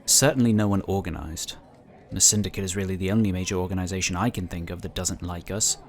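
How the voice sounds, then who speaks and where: An actor playing an amiable, knowledgeable psionic inquisitor who explains things in a talkative, encouraging way in an audio drama. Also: male voice, low-pitched, quick pace, slight background noise. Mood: puzzled, measured.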